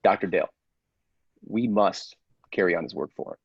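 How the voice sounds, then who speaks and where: A man playing a grave, persuasive scientist's assistant in a radio play, deliberate and theatrical, with secretive zeal. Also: low-pitched, vocal fry, breathy edge, dry recording, lo-fi sound, full character voice. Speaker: podcast actor.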